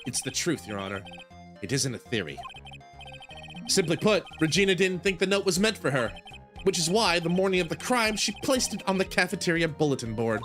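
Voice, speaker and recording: A man's voice: fairly high pitch, sing-song, a little fast, fairly bright tone, soft background music. A man voicing an earnest young male defense lawyer in a video game, explaining firmly.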